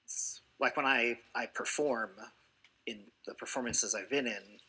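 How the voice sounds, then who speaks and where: A man in his late thirties answers interview questions slowly and hesitantly, pausing often on "uh". His voice is bright but husky. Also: medium pitch, low-quality recording. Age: 30s. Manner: hesitant, many "uh" pauses.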